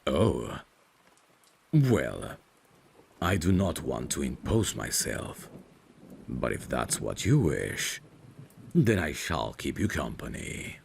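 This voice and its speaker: A man's voice: big pitch swings, slight background noise, heavily acted. A man voicing a courteous, devoted, centuries-old vampire butler in an ASMR roleplay, speaking formally and deferentially. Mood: courteous, attentive. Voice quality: very deep, creaky. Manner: formal, deferential, measured servant's phrasing. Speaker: ASMR voice actor. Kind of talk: ASMR roleplay, dramatic scripted acting.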